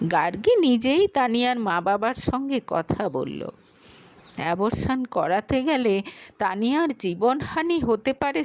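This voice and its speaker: A woman narrating a scripted audio story in an expressive, dramatic way. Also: fairly high pitch, no room sound.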